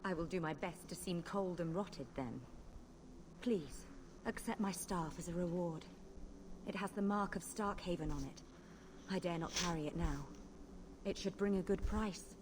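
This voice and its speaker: A woman voices a hunted mage on the run in a video game. Her voice is lowish and earnest, with a clear hiss of noise under it. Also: normal speed, natural voice. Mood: grateful. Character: hunted female mage fugitive, grateful.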